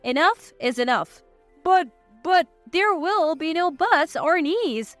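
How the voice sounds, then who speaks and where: Woman playing a sharp-tongued, high-voiced defiant wife. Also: animated, slow, dry recording, soft background music, full character voice. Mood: exasperated. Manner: sarcastic, firm.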